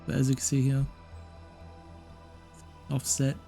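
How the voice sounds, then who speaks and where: A man's voice: mid-range voice, completely flat delivery, a little slow, vocal fry, dark tone. A man giving casual, puzzled, hesitant gameplay commentary, trailing off and repeating himself. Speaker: gaming video narrator. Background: game music.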